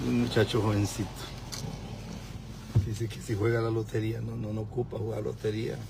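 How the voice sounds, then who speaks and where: A man's voice: fairly low pitch, level tone, brisk, gravelly. A man of fifty-four preaching informally on a livestream, with an exhorting, moralizing manner. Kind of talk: informal preaching mixed with chat. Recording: noisy vehicle and outdoor background.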